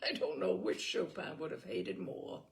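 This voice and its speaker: Casting: opinionated young horsewoman for an audiobook played by a female narrator, chatty and wry, affectionately teasing, with a creaky edge. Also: low-pitched, big pitch swings, measured pace, full character voice. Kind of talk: audiobook character dialogue.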